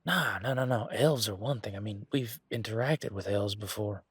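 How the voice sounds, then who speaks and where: A man acts out a towering, easygoing werewolf bodyguard with country roots. His voice is low and rough-edged, recorded dry and close. He talks informally and teasingly, with folksy phrasing and lingering hesitations, as in an intimate roleplay drama. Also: moderate pace, dry recording. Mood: conversational. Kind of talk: roleplay audio drama, one-sided conversation.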